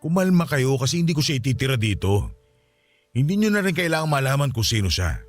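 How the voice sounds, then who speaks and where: A man's voice: medium pitch, very animated, fast, no room sound, faint music behind, close to an everyday voice. A man acting as a defensive, pleading married cheater in a Filipino radio drama.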